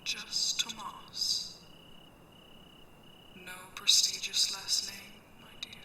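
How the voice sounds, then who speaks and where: A woman playing a commanding, teasing mech pirate captain in a sci-fi ASMR roleplay, speaking in a hushed, imperious way. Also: mid-range voice, flat delivery, very slow, echoey room, distant mic, slight background noise, full character voice. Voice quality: hushed, breathy whisper. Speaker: voice actor in an audio roleplay.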